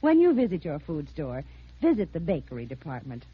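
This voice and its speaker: An American woman delivers a scripted radio advertisement in careful, instructive phrasing. Her voice is fairly low and husky, with swooping intonation. The vintage 1950s recording sounds muffled. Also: wildly swooping pitch, brisk. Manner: formal, carefully enunciated, instructive. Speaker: home economist giving a sponsor message.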